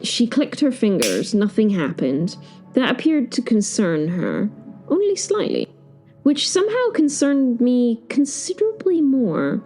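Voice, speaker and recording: Woman as Eleanor Rigby, wry narrator with lively intonation. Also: on the high side, a little slow, faint music behind, rough recording. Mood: bemused, wry. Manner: wry, understated, digressive. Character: Eleanor Rigby, flustered, dry-witted woman, reluctant caretaker of Hell. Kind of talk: first-person audio drama narration.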